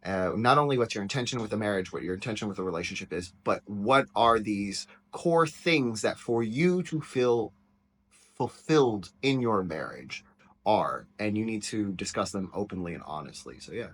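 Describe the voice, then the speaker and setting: A man with a loud, penetrating voice giving candid, rambling commentary as a teacher reacting to his own book. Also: fairly low pitch, lively intonation, moderate pace, breathy edge.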